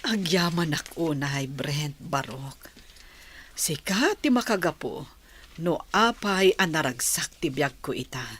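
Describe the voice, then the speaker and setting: Loving Ilocano mother, acted by a woman, radio play, tender lowish voice with lively swings, noise behind her. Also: takes it slowly, breathy edge, full character voice. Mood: tender, grateful. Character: loving, grateful adoptive mother, gently cautioning. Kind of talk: Ilocano radio drama acting.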